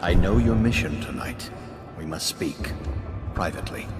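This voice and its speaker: An actor playing a secretive, wealthy aristocrat in a video game, speaking smoothly and with deliberate insinuation. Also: male voice, low-pitched, lively intonation. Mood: conspiratorial. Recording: echoey, loud music behind.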